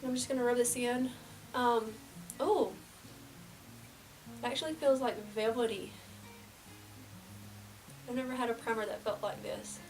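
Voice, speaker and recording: A young woman with a slightly high, faintly nasal voice talks casually and rambles, now and then sinking to a near-whisper. She sits a little back from the camera mic in a small room, with faint music playing underneath. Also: unhurried, pauses often, a little mellow, far from the mic, poor audio. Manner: casual and rambling, drops to near-whispers while applying makeup. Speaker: beauty vlogger. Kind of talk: chatty get-ready-with-me vlog. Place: small indoor room before a plain backdrop.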